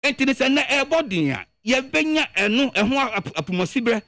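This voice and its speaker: A Ghanaian man with a strikingly high, gravelly voice gives animated political commentary on a radio show. His pitch leaps around as he presses his points, repeating words for emphasis. The sound is close and dry. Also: moderate pace, no room sound.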